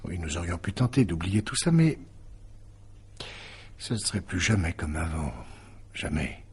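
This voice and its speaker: An actor playing a bitter, wounded man turning coldly sardonic in a radio mystery drama, with halting, repetitive phrasing and a raspy, breathy voice. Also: deep voice, animated, a little mellow, exaggerated voice. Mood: resigned, confronting. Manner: halting, sardonic, repeats words.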